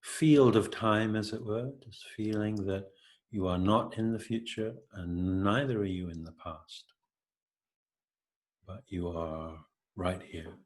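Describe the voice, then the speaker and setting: A man leading an unscripted, reflective guided meditation, speaking gently in halting phrases with long pauses. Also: on the low side.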